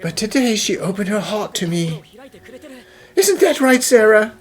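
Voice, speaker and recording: A woman's voice: mid-range voice, lively intonation, measured pace, breathy edge. A woman as an earnest, pleading young man. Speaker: voice actor in amateur cartoon dub. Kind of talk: acted cartoon dialogue, fan dub.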